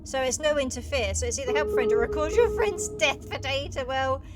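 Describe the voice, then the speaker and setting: A woman's voice: high-pitched, animated, brisk. A woman giving casual game commentary and reading on-screen text aloud as a video host. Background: clear music.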